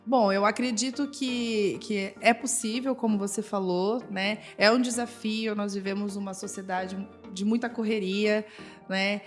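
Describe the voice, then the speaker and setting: A woman's voice: medium pitch, steady tone, unhurried, faint music behind. A woman with a Brazilian Portuguese accent, a school nutritionist speaking as a podcast guest and explaining things step by step with frequent tag questions. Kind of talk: casual podcast conversation.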